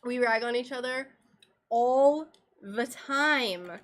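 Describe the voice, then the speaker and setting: American lady streaming crafts, slow easygoing chat, kinda giggly, voice a bit worn. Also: fairly high pitch. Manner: chatty, giggly, rambling with fillers. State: slightly worn voice. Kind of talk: casual chat while working.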